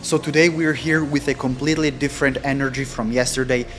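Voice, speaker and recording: A man with a mid-pitched voice talks in a casual, candid vlog style. He is a non-native English speaker whose stronger languages are Italian and German, with music behind him. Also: little pitch movement, fairly quick, a bit bright. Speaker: vlogger practicing sales cold calls.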